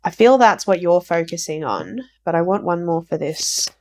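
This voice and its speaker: A woman giving a casual tarot reading for viewers, croaky from a recent flu, rambling and repeating herself. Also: on the low side, big pitch swings, normal speed, a little mellow. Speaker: tarot reader.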